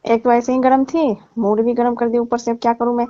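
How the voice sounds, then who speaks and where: A woman's voice: fairly high pitch, little pitch movement, fast, mellow, dry recording, close to an everyday voice. A woman playing a flirtatious, needy married aunty in a staged phone-call skit, pleading and complaining suggestively. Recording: dull, lo-fi call recording.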